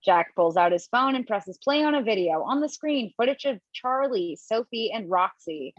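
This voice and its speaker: A woman reading the stage directions in an amateur table read, brisk and quick to laugh at her own slips. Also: a little high, lively intonation, dry recording, muffled recording. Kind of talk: amateur table read over video call.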